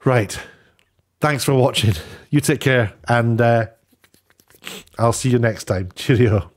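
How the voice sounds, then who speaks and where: A man's voice: mid-range voice, slow pace. A man giving casual, rambling opinion commentary as an online video host, often posing rhetorical questions, slightly sniffly.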